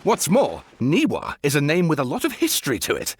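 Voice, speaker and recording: A man's voice: on the high side, wildly swooping pitch, brisk, heavy background noise. An actor playing an eccentric, showy male writer and researcher who talks in a theatrical, grandstanding way. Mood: eager. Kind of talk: video game voice acting. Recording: game cutscene with background music.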